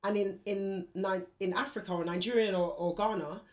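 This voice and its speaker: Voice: mid-range voice, fairly even intonation, normal speed. Speaker explaining things with facts and figures. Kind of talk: casual group discussion.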